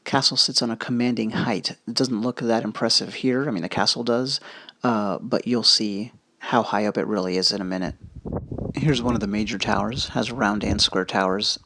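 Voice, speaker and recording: A lower-pitched man with a gravelly edge and a bright, forward tone narrates in a relaxed, conversational way. He leans on fillers and casual asides, and the voice-over sounds dry and close. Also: normal speed, no echo. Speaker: history video host. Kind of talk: casual narrated travel commentary. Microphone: voice-over recorded separately.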